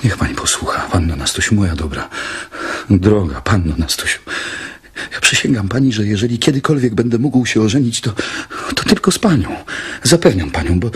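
A man playing a poor, courteous young lodger in love in a radio drama, halting, earnest and pleading. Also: lowish voice, animated, slightly rough.

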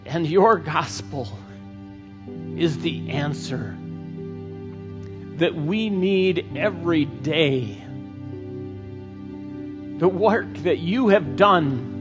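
A middle-aged man preaching a sermon and leading a closing prayer, slow and earnest with frequent pauses, on a headset mic. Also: fairly high pitch, lively intonation, over music. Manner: slow, earnest, pausing often. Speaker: pastor. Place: church stage, indoors. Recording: dull church recording with long reverb.

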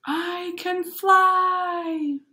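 A woman voicing an excited young boy who imagines he is a superhero, eager and boastful, in a storybook reading. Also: very high-pitched, very slow, pitched above the performer's own voice, heavily acted.

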